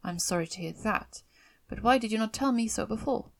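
A woman voicing a dry, teasing middle-aged father in an audiobook of a classic novel, speaking in a measured, formal, ironic way. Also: on the high side, gravelly. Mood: wry.

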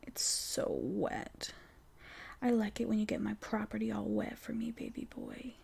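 A woman speaking in a soft, teasing whisper for an intimate audio roleplay, slow and coaxing. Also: medium pitch, wildly swooping pitch, gravelly, husky.

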